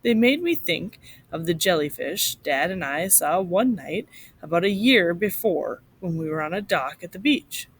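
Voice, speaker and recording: A woman reading a short story aloud to children in an expressive, instructive way, like a teacher. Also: on the low side, measured pace, husky.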